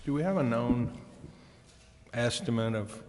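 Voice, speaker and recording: A man's voice: mid-range voice, lively intonation, a little slow, a little mellow. A man speaking as a council member, asking questions in a roundabout, deliberative way at a public meeting. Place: large council chamber. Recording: reverberant hall, faint noise.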